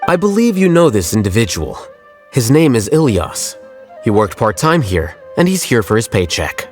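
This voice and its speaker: A man voicing a calm, blunt young male scholar in a game, speaking in a measured, plain way. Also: medium pitch, soft background music, natural voice. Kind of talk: game voice acting.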